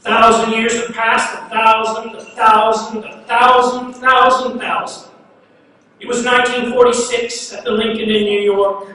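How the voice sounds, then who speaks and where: A man's voice rings out from far off in a very echoey hall, with a long reverb tail. He recites poetry like an incantation, pitched high, repeating phrases insistently and setting them apart with emphatic pauses. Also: little pitch movement, bright, forceful tone. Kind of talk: dramatic spoken-word poetry recitation. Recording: very echoey hall, distant mic, faint noise.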